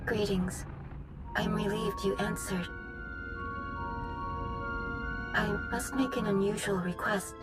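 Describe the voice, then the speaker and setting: Actress as grave female mystic, composed, formal, reserved, mid-pitched, scripted game dialogue. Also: fairly even intonation, over loud music, natural voice. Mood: guarded, formal. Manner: measured, formal, reserved phrasing.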